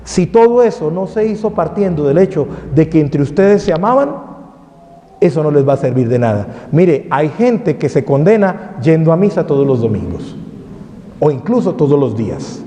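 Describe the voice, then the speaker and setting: A man's voice: fairly high pitch, very animated, normal speed, mellow. A man, a priest, preaching a sermon in a parish hall and firing off rhetorical questions to the crowd. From Colombia. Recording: dull sound with long hall reverb.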